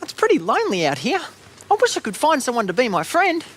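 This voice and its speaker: A woman voicing a small, lonely but cheerful oxpecker bird on a children's TV show, chirpy and friendly with a very high voice. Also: huge pitch swings, quick pace, exaggerated voice. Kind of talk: children's TV acting.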